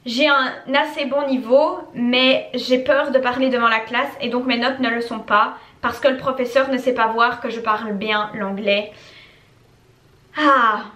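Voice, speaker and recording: A woman's voice: a little high, fairly even intonation, a little slow, bright, forceful tone. A young woman from Belgium chatting casually to camera as a YouTuber, answering viewer questions and repeating words for emphasis. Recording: echoey room.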